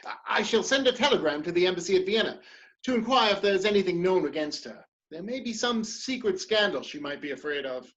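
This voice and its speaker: A woman's voice: lowish voice, animated, fairly quick, lo-fi sound, lightly acted. A woman playing a proud, ambitious male politician in a dramatic play reading, speaking with theatrical emphasis and defiance. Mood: anxious, resolute.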